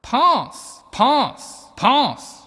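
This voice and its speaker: An actor playing a bossy, mischievous young French student with a French accent in a video game. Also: male voice, very high voice, wildly swooping pitch, very slow, pushed and bright, full character voice. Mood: teasing, exasperated. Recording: long echoing reverb.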